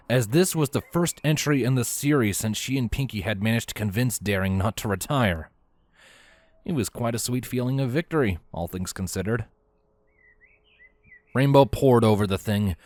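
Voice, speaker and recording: A man narrating a story from a script in an expressive, animated way with theatrical emphasis. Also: lowish voice, no echo. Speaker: audiobook-style narrator of fan fiction.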